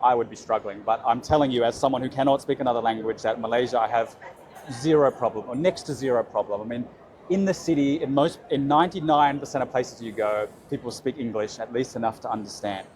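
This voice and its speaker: A man's voice: mid-range voice, fast, a little mellow. A young Australian man, an expat, chatting in a casual interview with upbeat affirmations and frequent fillers. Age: young adult.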